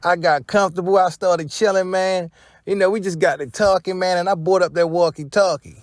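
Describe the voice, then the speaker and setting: A man's voice: sits high, fairly even intonation, fairly quick, no echo, clean studio sound, close to an everyday voice. A man voicing a sheepish, apologetic rapper who pleads and rambles through slangy excuses. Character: sheepish apologetic male rapper making drunken excuses by phone.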